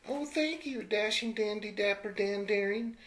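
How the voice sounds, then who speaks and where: A woman's voice: medium pitch, moderate pace, lightly acted. A woman playing a dainty, lovestruck damsel in a comedy western radio play, melodramatic and sweetly mannered. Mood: adoring.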